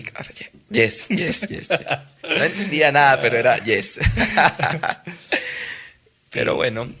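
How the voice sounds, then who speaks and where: A young man with a Colombian Spanish accent talks casually in an interview. His low voice has a gravelly edge and stays fairly level in pitch. He hesitates and restarts often, picking up when recalling stories. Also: a bit bright. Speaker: professional footballer guest.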